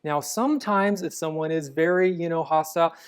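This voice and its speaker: A man answering interview questions about apologetics in a calm, measured, explanatory way. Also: on the high side, clear, resonant tone. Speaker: apologetics guest.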